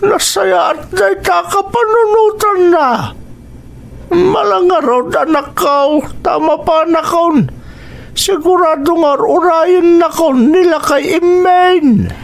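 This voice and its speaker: A man's voice: extremely high pitch, takes it slowly, creaky, exaggerated voice. A man with a Filipino accent playing a kindly old village grandfather in an audio drama. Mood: delighted, proud.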